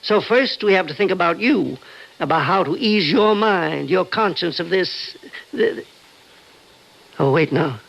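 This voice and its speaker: A concerned doctor in a vintage radio play, fairly high-voiced with a gravelly creak. He reasons in an earnest, measured, halting way. The recording is dull and old. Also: lively intonation, fairly bright tone, heavily acted. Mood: earnest, reassuring. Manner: earnest, hesitant, measured persuasion. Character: concerned, reasoning older male doctor and confidant. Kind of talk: old-time radio drama acting.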